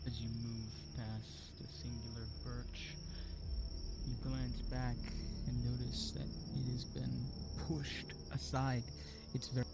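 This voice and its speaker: A man narrating a fantasy roleplay as game master, in a measured, descriptive storytelling manner. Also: mid-range voice, flat delivery, heavy background noise. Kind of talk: scripted-sounding fantasy narration in live roleplay. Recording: loud music behind the voice. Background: loud music.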